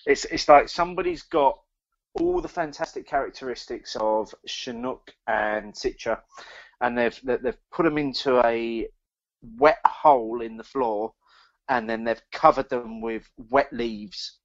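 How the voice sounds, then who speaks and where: A man with a mid-pitched voice chats casually as a podcast host giving a review. He thinks aloud in a halting way, often doubling back on words. The audio is somewhat dull and lo-fi. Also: creaky. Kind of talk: casual chat, beer review.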